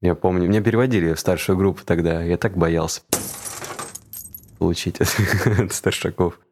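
A man's voice: low voice, steady tone, moderate pace, noisy background. A man chatting casually as a conversation partner who puts questions to the other speaker. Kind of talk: casual chat.

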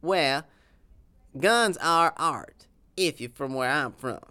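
A man's voice: on the high side, lively intonation, takes it slowly, bright, forceful tone, smooth, heavily acted. A man putting on a boastful Southern cowboy. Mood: insistent. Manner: drawling, ungrammatical, indignant bluster. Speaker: interview guest.

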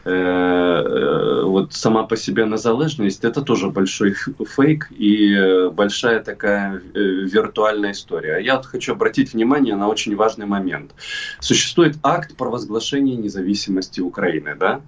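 A middle-aged man with a lowish voice, heard through a muffled, distant-sounding connection. He is from Crimea and answers as a political commentator, deliberately and emphatically, with hesitations between his points. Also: lively intonation. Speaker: expert guest, political commentator.

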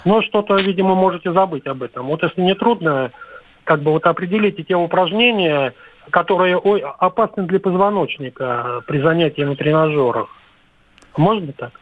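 Measured man calling in over a phone line. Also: sits high, no echo. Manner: deliberate, measured, with pauses. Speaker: caller.

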